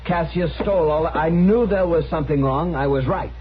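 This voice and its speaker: A man's voice: on the high side, normal speed, natural voice. An actor playing a self-righteous, insistent townsman, agitated and indignant, in a radio western. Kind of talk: 1950s radio drama acting. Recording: dull vintage radio recording, faint noise.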